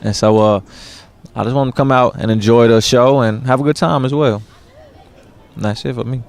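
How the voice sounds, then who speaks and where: A man's voice: medium pitch, steady tone, a little fast, vocal fry. A young man, an actor, chatting casually in a laid-back way with slang and many uhs. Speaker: stage actor. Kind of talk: casual interview.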